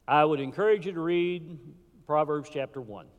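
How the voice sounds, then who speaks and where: Impassioned preacher in a reverberant church. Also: male voice, a little high, normal speed, highs cut off. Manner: impassioned preaching with sudden drops to a hush. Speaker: pastor. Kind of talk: sermon. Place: church sanctuary. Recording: hall reverb.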